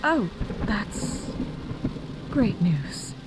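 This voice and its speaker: Calm sly formal game lady, really unhurried, understated, music in back. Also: mid-range voice, poor audio. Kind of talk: visual novel game acting.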